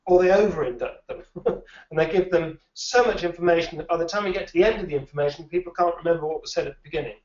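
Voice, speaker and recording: A man's voice: a little high, fast talker, a little mellow. A man who trains recruiters answers interview questions in a thoughtful way, often repeating words as he thinks. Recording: dull, roomy, a little distant.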